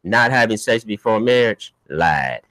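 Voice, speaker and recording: Low-voiced joking radio host. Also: male voice, animated, measured pace, a bit bright. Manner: blunt, joking, argumentative. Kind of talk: casual studio talk. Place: small radio studio office. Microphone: studio boom mic with headphones.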